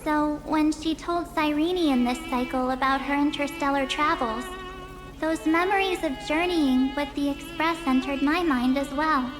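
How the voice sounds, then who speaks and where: A bright-spirited, determined young girl speaks. She is informal and emphatic, as a video game heroine over clearly audible music. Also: high voice, steady tone, measured pace, poor audio. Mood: cheeky, confident. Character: upbeat, earnest, cheeky young girl, determined and loyal.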